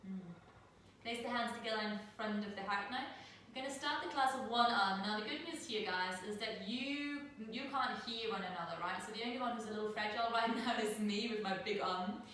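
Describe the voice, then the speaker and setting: A middle-aged woman from Denmark leading a guided yoga class over a live video call, speaking in a soft, soothing way with slow, drawn-out cues. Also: medium pitch. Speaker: yoga teacher. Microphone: laptop mic at a distance. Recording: very echoey room, distant mic, faint noise.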